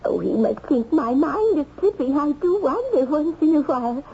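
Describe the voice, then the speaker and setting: Creaky-voiced, addled old mother. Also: high voice, sing-song, moderate pace. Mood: chatty, fretful. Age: older. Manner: rambling, repetitive, scattered. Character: forgetful, addled old widowed mother, chatty and wistful. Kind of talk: radio drama acting. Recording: dull, noisy old radio recording.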